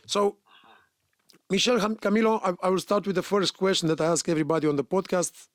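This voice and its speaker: Guy hosting a podcast, kinda high voice, effusive, trips over his words, super dry sound. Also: fairly quick, no room sound. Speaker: podcast host. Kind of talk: casual podcast interview.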